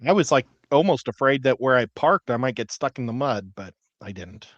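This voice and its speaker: A man's voice: medium pitch, animated, brisk, a bit bright, no echo. A man in his fifties or older, telling everyday stories in casual chat as a livestream panelist. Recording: dry, dull lo-fi audio.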